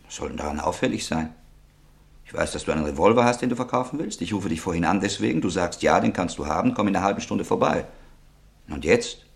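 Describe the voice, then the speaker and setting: A man's voice: sits low, animated, fast, creaky. An actor playing an impatient, argumentative buyer in a German radio drama, insistent in his way of talking. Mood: impatient, irritated. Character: impatient, suspect man pressing to buy a revolver.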